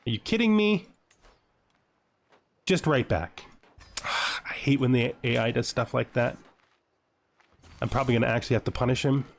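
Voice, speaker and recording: A man's voice: medium pitch, moderate pace, creaky, pauses often, a little hiss or hum. A man giving casual let's-play commentary, thinking aloud in fragments and asking himself questions as he goes. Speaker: gaming video host. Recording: music behind the voice. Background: background music.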